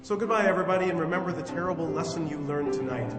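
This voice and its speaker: A man acting as a playfully grand radio showman with a slightly high voice, delivering an old-time sign-off. He rings through an echoey hall with music clearly behind him. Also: very fast, natural voice. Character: showman host signing off a Halloween radio hoax.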